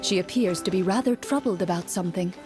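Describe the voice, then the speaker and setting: An actress playing a composed game master who narrates a tabletop adventure in a measured storytelling way. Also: female voice, on the low side, music in the background, lightly acted. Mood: measured, evocative. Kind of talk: scripted narration.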